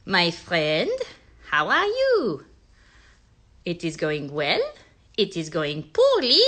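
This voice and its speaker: Woman as a puppet teacher, gentle, patient, animated swoops, bright tone, children's show. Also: medium pitch, full character voice. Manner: slow, sing-song, teacherly, repeating phrases.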